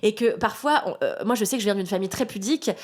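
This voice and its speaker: A woman in her thirties, a guest in a casual chat, telling lively stories and acting out what people said. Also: mid-range voice, fast talker. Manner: lively anecdotes with acted-out quotes.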